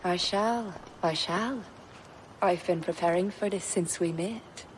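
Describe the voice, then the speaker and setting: A slow, grave druid poetess speaks in measured, cryptic phrases. She is a mystical video game figure, with faint ambient noise behind her. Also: lowish voice, low-quality recording. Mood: solemn. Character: solemn mystical pagan druid poetess, adult woman. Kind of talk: dramatic video game acting.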